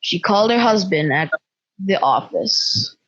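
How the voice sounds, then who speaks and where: A woman narrating a suspense story as a measured storyteller, husky, in a dry, slightly dull recording. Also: mid-range voice, animated, a bit bright, no room sound.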